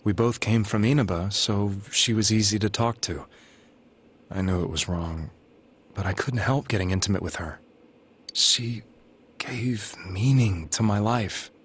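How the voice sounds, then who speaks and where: An actor playing an earnest, guilt-ridden delivery man in a video game, speaking in a halting, solemn, confessional way. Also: fairly low pitch, breathy edge. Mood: somber, reflective. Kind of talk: dramatic video game voice acting. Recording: music behind the voice.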